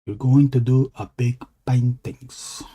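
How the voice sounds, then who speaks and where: A man with a husky voice, chatting casually with his live-stream viewers as he draws, rambling and asking them questions. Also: medium pitch, fairly even intonation, takes it slowly, dark tone. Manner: rambling, talks to chat readers. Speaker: illustrator streaming his drawing. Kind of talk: casual live-stream chat while drawing.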